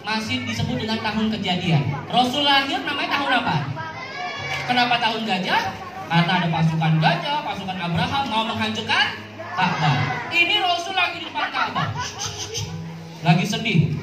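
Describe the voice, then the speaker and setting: A woman tells religious stories to children in a high, animated voice. She teaches through questions and call-and-response. Her voice echoes over the sound system, with music and a crowd behind her. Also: moderate pace, far from the mic. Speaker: children's storyteller. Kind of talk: interactive religious storytelling for children. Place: outdoor mosque yard event.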